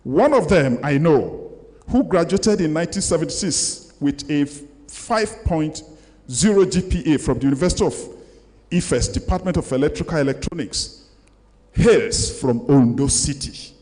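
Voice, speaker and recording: An older man with a Nigerian accent gives a formal public lecture, speaking deliberately and emphatically as he reads and reflects. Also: a little high, animated, darkish tone. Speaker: guest lecturer. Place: large lecture hall, indoors. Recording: echoey hall with long reverb.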